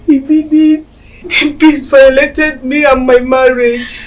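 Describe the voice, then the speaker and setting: An actress playing a grieving widow, narrating slowly and mournfully. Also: female voice, sits high, fairly even intonation, faint music behind. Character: grieving widowed wife recounting her ordeal.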